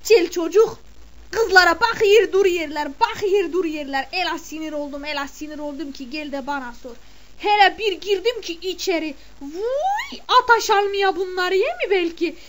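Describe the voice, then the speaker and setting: Woman doing a middle-aged village mother, very high voice, chatty, exclamatory, scolding. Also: lively intonation, moderate pace. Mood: gossipy. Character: gossiping village mother of the bride, indignant. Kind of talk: comic character monologue.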